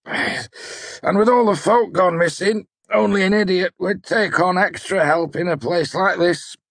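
A man's voice: sits high, fairly even intonation, a little slow, gravelly, fairly bright tone, dry recording, clean studio sound, voice pushed up, full character voice. A man voicing a weary, bruised male mercenary who grumbles sourly in a storybook reading. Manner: sour, grumbling.

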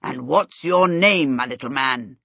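Woman playing a slow, hearty, husky king. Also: medium pitch, very animated, pushed and bright, no echo, lo-fi sound, heavily acted. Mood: genial, hearty. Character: genial, hearty king fond of children.

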